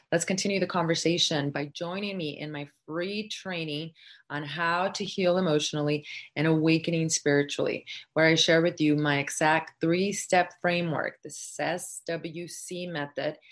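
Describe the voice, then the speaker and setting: A woman hosting a podcast, effusive and gushing with praise as she thanks her guest and wraps up the show. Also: low-pitched. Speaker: podcast host. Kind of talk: casual podcast interview.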